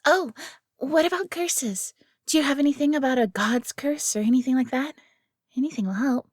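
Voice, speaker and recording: A woman's voice: high-pitched, moderate pace, no room sound, studio quality, lightly acted. A woman playing a friendly, nervous fox companion in a fantasy audio roleplay, speaking to the listener in a hesitant, chatty way.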